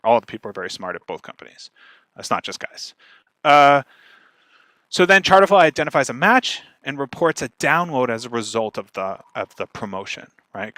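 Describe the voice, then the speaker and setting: Bright-voiced man giving an informal talk. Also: medium pitch, no echo. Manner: explanatory, checks in with "right?". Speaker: speaker, podcast marketer giving a talk. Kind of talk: informal instructional presentation.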